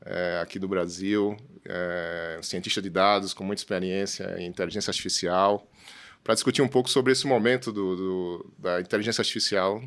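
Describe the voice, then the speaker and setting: A man with a Brazilian Portuguese accent hosting a conversational business discussion in a halting way, often adding tag questions. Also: low voice, fairly even intonation, a bit bright. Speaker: host.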